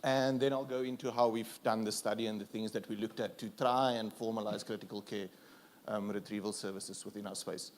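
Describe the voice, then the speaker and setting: A South African man whose first language is Afrikaans, giving a relaxed, self-deprecating conference presentation with fillers and restarts. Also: fairly low pitch, brisk. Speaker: conference speaker.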